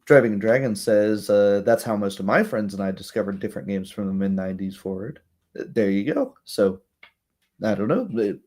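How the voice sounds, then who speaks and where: A young man hosting a livestream with casual commentary, speaking in a rambling, halting way and often checking in with tag questions. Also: on the low side, lively intonation, darkish tone. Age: young adult. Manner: rambling, halting asides, frequent "right?" tags. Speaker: livestream host.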